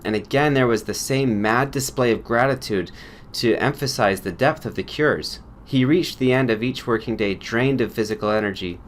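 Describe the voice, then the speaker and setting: A man narrates prose fiction as an audiobook reader. His voice sits at an average male pitch, and he delivers scripted storytelling.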